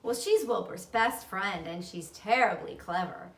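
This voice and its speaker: A woman's voice: medium pitch, animated, a little slow, gravelly, a bit bright, roomy sound, recorded from a distance. A woman voicing a chatty, earnest young girl in a storybook, speaking eagerly and matter-of-factly.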